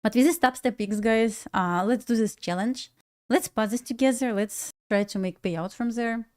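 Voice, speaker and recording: A woman's voice: on the high side, darkish tone. Russian-accented woman chatting on a livestream. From Belarus. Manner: chatty, laughs often, restarts and halting phrasing. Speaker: trading livestream host. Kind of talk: casual livestream chat.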